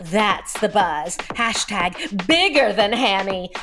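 A woman's voice: medium pitch, lively intonation, takes it slowly, over music. A woman playing a gossipy social media poster in an audio comedy, announcing buzz in clipped phrases. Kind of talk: comedy audio drama acting.

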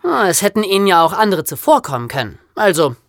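A woman's voice: medium pitch, sing-song, quick pace, no echo, clean studio sound. A young woman co-hosting a podcast in a conversational, storytelling way. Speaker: podcast co-host.

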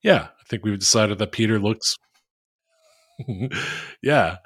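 Dry-sounding man with a flat monotone. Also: on the low side, takes it slowly, husky, no echo. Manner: rambling, self-mocking, crude jokes. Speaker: podcast co-host. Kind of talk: casual podcast chat.

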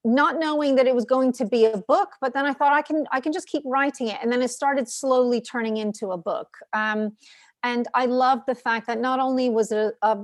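A woman's voice: high-pitched, moderate pace, no room sound, studio quality. A woman in her thirties from New York, whose first language is Ukrainian, telling her family's story as an author guest in a chatty way with many fillers. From New York, USA. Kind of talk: casual interview answers.

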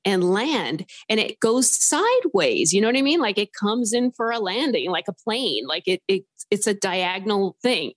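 A woman from Southern California calling in to a podcast, telling her story in an animated, rambling way full of filler words. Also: mid-range voice. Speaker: caller sharing a paranormal story. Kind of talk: casual storytelling on a phone-in podcast.

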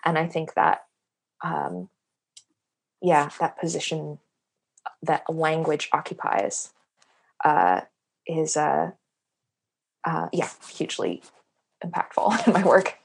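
Low-voiced hesitant New woman. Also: slow pace, gravelly, long pauses, breathy edge. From New York. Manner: hesitant, many fillers, laughs often. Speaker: playwright. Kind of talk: podcast interview, thoughtful.

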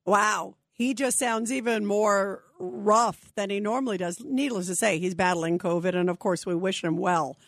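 A woman's voice: medium pitch, normal speed, few pauses, no room sound, studio quality. A woman hosting a radio talk show, giving opinionated commentary and talking straight to her listeners. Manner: opinionated, conversational, addresses listeners directly.

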